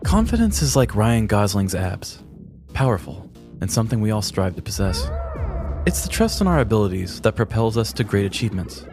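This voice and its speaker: A man co-hosting a podcast, telling a scripted comedic story in a deliberate, mock-grandiose way. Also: fairly low pitch, very animated, darkish tone, faint music behind. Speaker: podcast co-host.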